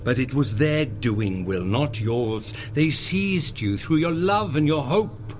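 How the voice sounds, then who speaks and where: An actor playing a grave, wise, ancient mentor in an audio drama, speaking in a measured, weighty way. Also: male voice, mid-range voice, expressive, faint music behind. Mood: grave, consoling.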